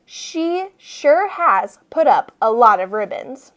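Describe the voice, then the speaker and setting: A woman narrates a children's storybook aloud. Her voice is high and lively, swinging widely in pitch. She takes her time, stressing words expressively for young listeners. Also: slow. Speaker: storybook reader.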